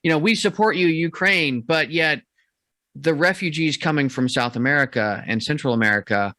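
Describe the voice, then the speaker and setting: A man's voice: on the high side, moderate pace, sharp tone, dry recording, clean studio sound. A man hosting a podcast, talking frankly in casual conversation and reading a scripted outro. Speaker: podcast host.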